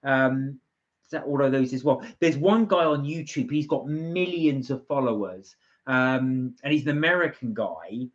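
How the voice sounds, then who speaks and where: Male British livestream co-host, chatty and hesitant, unpolished and informal. Muffled, low-fidelity sound. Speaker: live stream co-host. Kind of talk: casual live chat, reading viewer comments. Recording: dull, lo-fi livestream audio.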